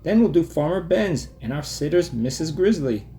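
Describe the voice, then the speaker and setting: A man's voice: on the high side, lively intonation, moderate pace, warm tone, a little hiss or hum, pitched above the performer's own voice. A man voicing a young boy bear cub, eager and cautious, in a children's storybook. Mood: matter-of-fact. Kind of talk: children's storybook read-aloud.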